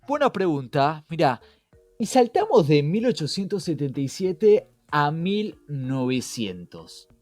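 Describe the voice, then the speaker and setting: An Argentine man with a Rioplatense accent explains things in a conversational podcast style. His voice is fairly high and very animated in its melody, and he likes to pose a question before answering it. Soft music plays underneath. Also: a little slow, no echo. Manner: rhetorical questions to co-host and listener. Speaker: podcast co-host.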